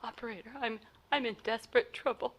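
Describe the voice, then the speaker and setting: A woman playing a nervous, demanding, high-voiced invalid wife. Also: fairly even intonation, brisk, a bit bright, slight background noise, lightly acted. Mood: hushed, frightened. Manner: stammering, breathless urgency, haughty insistence. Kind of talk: 1940s radio play acting.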